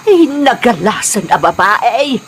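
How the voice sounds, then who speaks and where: A woman's voice: on the high side, sing-song, takes it slowly, a little hiss or hum. A woman acting a worried, gossiping older mother in an Ilocano drama, scolding and disapproving.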